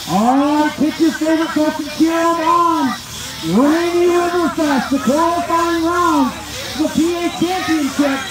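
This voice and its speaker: A man giving loud, folksy, excited live sports commentary as an event announcer, hyping up the crowd. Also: very high voice. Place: outdoor festival event, heard over loudspeakers. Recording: echoey outdoor PA with crowd noise. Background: cheering crowd.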